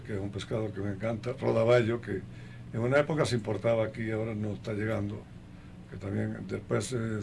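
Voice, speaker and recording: Older guy getting interviewed, low creaky voice, keeps pausing and listing stuff. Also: a little mellow, slight background noise. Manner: halting, listing things one by one. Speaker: interview guest. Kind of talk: conversational radio interview.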